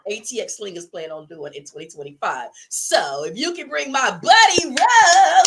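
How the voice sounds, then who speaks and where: A woman with a hoarse, fading voice, chatting animatedly as a guest on a livestreamed podcast and promoting an event. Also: fairly high pitch, moderate pace, very noisy. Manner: animated, boastful, heavy on y'all. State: hoarse, losing her voice. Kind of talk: casual livestream chat.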